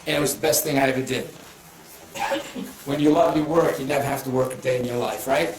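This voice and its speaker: A man's voice: medium pitch, steady tone, brisk. An older man with a thick Boston accent, chatting loosely through a painting demonstration, full of fillers and restarts. His voice sounds somewhat distant from the mic. The room rings with echo. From Italy. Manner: rambling, with frequent fillers and restarts. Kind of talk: casual live demonstration talk. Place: art association meeting hall.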